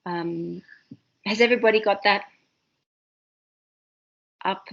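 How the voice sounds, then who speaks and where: A woman with an Australian accent leading an online university seminar, talking fast and excitedly with many ums and restarts. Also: mid-range voice, creaky, muffled recording, a little hiss or hum. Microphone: video call.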